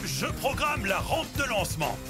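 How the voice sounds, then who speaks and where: A man's voice: sits high, sing-song, normal speed. A man voicing a kindly old grandfather truck who gives encouraging announcements in a children's cartoon. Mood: rousing. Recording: music under the voice.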